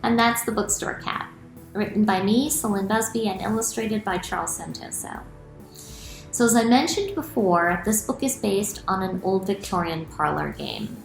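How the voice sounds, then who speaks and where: Middle-aged lady doing kids storytime, expressive, echoey home room, faint music. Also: mid-range voice, moderate pace. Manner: expressive, clearly addressed to children. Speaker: children's author reading aloud. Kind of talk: children's storytime read-aloud and explanation. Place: small room at home, indoors.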